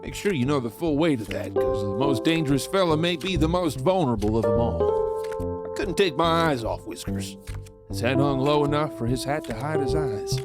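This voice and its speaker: Male actor as cocky outlaw storyteller, western audio drama, folksy and teasing, lively pitch, loud music bed. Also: moderate pace, lightly acted. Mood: sly, confident. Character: sly, cocky Old West outlaw narrating his own tale. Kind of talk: first-person storytelling narration in audio drama.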